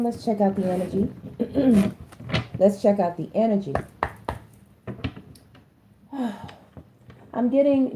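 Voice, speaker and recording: A hoarse woman giving a casual tarot reading, chatting to her viewers and often stopping to clear her throat. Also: medium pitch, warm tone, slight background noise, rough recording. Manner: chatty, addresses listeners as y'all, stops to clear her throat. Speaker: tarot reader.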